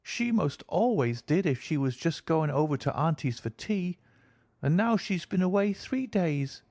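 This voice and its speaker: A man reading an audiobook, voicing an innocent little frontier girl in a high, childlike rustic dialect. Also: lively intonation, unhurried, darkish tone, no echo. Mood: earnest. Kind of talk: audiobook character voice.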